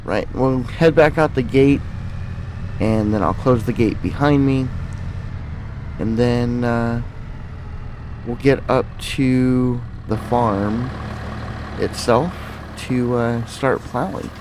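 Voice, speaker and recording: A man hosts a farming game video in a casual, conversational way. He narrates each step as he thinks aloud, at an ordinary male pitch, with faint noise in the background. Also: takes it slowly, long pauses. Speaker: gaming video host. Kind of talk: casual gameplay commentary with roleplay.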